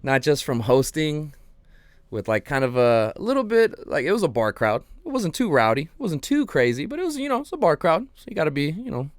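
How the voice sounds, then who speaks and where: A man hosting his own show, chatting casually and rambling with lots of ums and slang, his voice slightly hoarse. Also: fairly high pitch, big pitch swings, brisk, dry recording, clean studio sound. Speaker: podcast host. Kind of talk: casual solo livestream chat.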